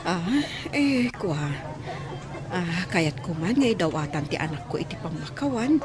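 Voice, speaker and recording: A woman's voice: on the low side, sing-song, unhurried, background noise. A woman acting an apologetic, ashamed middle-aged mother in an Ilocano radio drama.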